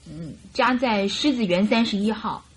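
A woman's voice: medium pitch, normal speed, slightly rough, close to an everyday voice, low-quality recording. A woman playing a naive, devoted young lady in distress in a radio drama, pleading and girlish. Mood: subdued, timid. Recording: radio drama with music behind.

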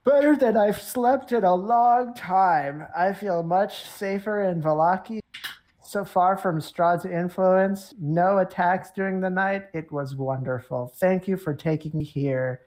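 A woman acts out a grown, independent heroine of a tabletop fantasy game. She is earnest, grateful and cheerful, speaking plainly in a low female voice. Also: unhurried, darkish tone, close to an everyday voice. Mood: relieved, grateful.

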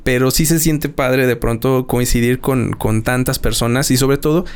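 Young man, Zacatecas accent, Mexican host, slangy and rambling, mid-pitched voice. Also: moderate pace, clear, resonant tone. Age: young adult. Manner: rambling, repeats words, slangy. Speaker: podcast host. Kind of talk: casual podcast chat.

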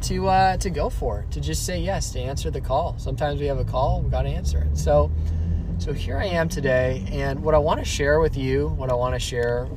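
Young man rehearsing a speech, hesitant with many fillers, recorded from a distance outside. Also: medium pitch. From San Francisco Bay Area, California. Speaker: app founder practicing a speech. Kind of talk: rehearsed speech practice, casual. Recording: distant mic, loud traffic noise.

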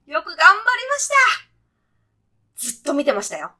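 A woman plays a brash, breezy heavenly guide in a comedy radio play. The voice is high and bright, with very lively swings in pitch. The talk is punchy and clipped, full of casual jock-style slang. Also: normal speed, exaggerated voice. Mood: upbeat, brash. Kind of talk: comic radio sketch acting.

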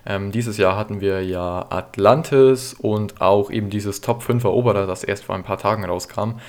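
Young man, history podcast host, chatty, relaxed, lowish voice, lots of ums. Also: normal speed. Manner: relaxed and chatty, many fillers. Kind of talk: casual solo podcast answering listener questions.

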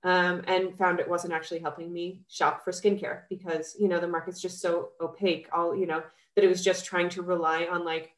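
A young woman with a cold speaks in a somewhat low, nasal voice. She talks eagerly and casually, full of "um"s and "you know"s. The sound is roomy and echoey. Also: little pitch movement, brisk, few pauses. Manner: chatty, enthusiastic, many fillers. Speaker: skincare founder, guest. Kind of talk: casual podcast interview.

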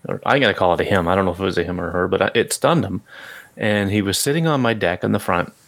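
A man's voice: deep voice, fast, a bit bright. A middle-aged man co-hosting a casual podcast, telling a story in an easygoing way with hesitant fillers. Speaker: podcast co-host. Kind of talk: casual chat.

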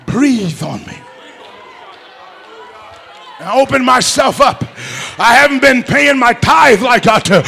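A man preaching in a church with a very high, gravelly voice. He shouts in slow, stretched-out rhythmic lines, hammering the same phrases over and over. His tone is bright and sharp. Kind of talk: sermon with prayer. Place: church sanctuary.

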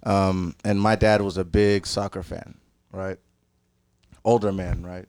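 A man's voice: sits low, flat delivery, unhurried, a little mellow, clean tone. A young man from Ethiopia chatting casually, playful and joking, laughing often. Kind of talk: casual chat.

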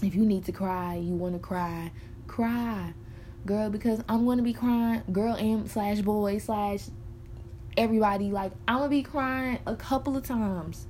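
A woman's voice: medium pitch, steady tone, a little slow, warm tone, slight background noise. A woman in her early twenties, talking candidly and frankly in a personal monologue, swearing freely and rambling with many fillers. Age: early 20s. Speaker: podcaster.

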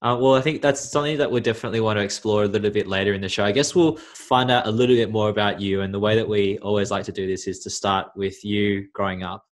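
Male host, low-pitched, careful, softly hedged interview questions. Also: steady tone, quick pace, few pauses. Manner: thoughtful, hedging questions. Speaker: podcast host.

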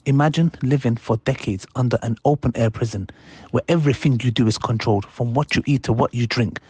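A man's voice: medium pitch, fairly quick, darkish tone, husky, dry recording. A man making an urgent, pleading charity appeal for donations.

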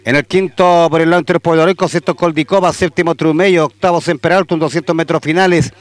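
Chilean track announcer, steady call, dry close sound. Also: male voice, quick pace, dry recording. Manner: steady calling that climbs louder and higher at the finish. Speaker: horse racing announcer. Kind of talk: live race commentary.

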